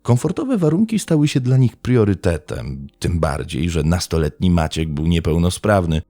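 Very deep, gravelly male crime narrator. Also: very animated, moderate pace, dark tone, dry recording. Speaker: true crime podcast narrator. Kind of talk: scripted narration. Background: faint background music.

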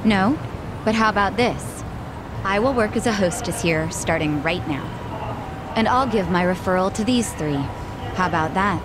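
An actress playing a poised, sharp-tongued and confident young hostess in a video game. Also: female voice, medium pitch, animated, moderate pace, noisy background, lightly acted. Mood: assertive, cool.

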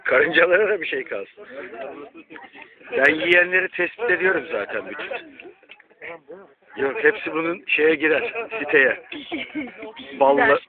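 A man's voice: a little high, expressive, a little slow, pauses often. A man from Turkey with an Izmir Turkish accent, joking and teasing while filming friends at an outdoor cafe breakfast. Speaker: club member filming breakfast. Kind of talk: casual banter while filming. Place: outdoor cafe table, crowded. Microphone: handheld camcorder mic. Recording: lo-fi camcorder, loud crowd.

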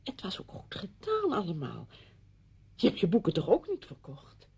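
An actress playing a chatty, meddling woman visiting an old friend in a Dutch radio play, brisk and insistent in manner. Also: medium pitch, huge pitch swings, darkish tone, full character voice. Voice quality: husky, creaky.

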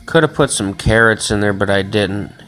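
A man's voice: fairly low pitch, moderate pace, fairly bright tone, faint music behind, poor audio. A man rambling casually and swearing often in a home vlog, filmed on a handheld phone in a small room. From Chicago area. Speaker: vlogger. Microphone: phone camera held in hand.

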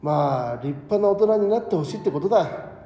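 A man acting an ageing, modest schoolteacher in an audio drama, speaking as a reflective, principled and gently frank mentor. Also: high-pitched, expressive. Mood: reflective, earnest. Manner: reflective, measured mentor talk. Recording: echoey hall reverb, music underneath.

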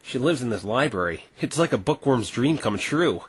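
A man playing an earnest, flustered young defence lawyer in a visual novel fan dub, expressive and incredulous. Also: mid-range voice, moderate pace, close to an everyday voice. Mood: casual, curious.

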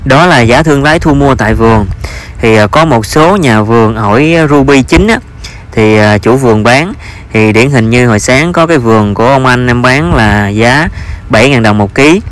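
A man's voice: mid-range voice, animated, moderate pace. A man with a Southern Vietnamese accent narrates an informal vlog as a farmer, with hesitant, repetitive phrasing.

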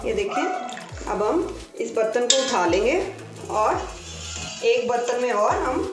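A woman hosting a cooking channel, giving patient step-by-step kitchen instructions and repeating each step. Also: on the high side. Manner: unhurried, repeats steps patiently. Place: home kitchen. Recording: roomy, distant sound with faint music.

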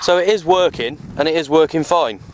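A man's voice: a little high, brisk. Englishman rambling over noisy outdoor audio. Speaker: radio hobbyist vlogger. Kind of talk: casual outdoor vlog chat. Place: outdoors, open parkland in wind. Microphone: handheld camera at arm's length.

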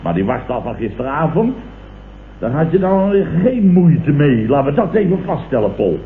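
A man's voice: a little high, very animated, normal speed. A vain, blustering businessman uncle in a vintage radio comedy. His delivery swings widely and circles back on itself pompously. The sound is phone-thin, echoey and noisy. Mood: sarcastic. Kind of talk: 1970s Dutch radio comedy acting. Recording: old tinny, noisy, echoey radio recording.

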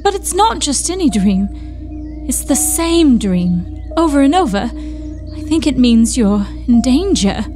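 Woman acting high-voiced anxious Victorian sister. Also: sing-song, slow pace, mellow, soft background music, full character voice. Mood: haunted, fearful. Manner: earnest, foreboding. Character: anxious young Victorian sister, gentle and fretful. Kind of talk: audio drama acting.

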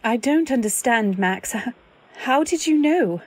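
A woman's voice: a little high, animated, measured pace, a little mellow, breathy edge. A woman playing an anxious, devoted wife who narrates her story in dramatic old-time radio style. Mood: anxious, pleading. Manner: dramatic, earnest delivery. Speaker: narrator and actor.

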